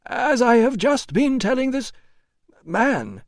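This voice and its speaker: A man's voice: big pitch swings, slow, no echo, clean studio sound, exaggerated voice. A man voicing a fussy, cowardly, pompous Roman administrator in an audiobook, officious and indignant in a strained voice. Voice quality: strained, high-pitched. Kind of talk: audiobook character voice.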